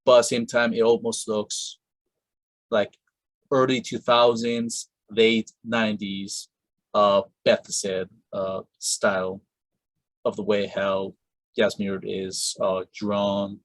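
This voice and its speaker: A man hosts a casual interview, his voice low and his delivery unhurried. He rambles, restarts his sentences and leans on fillers. The mic is close and dry, with no room sound. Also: level tone, no room sound, poor audio. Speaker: podcast host interviewing a voice actress.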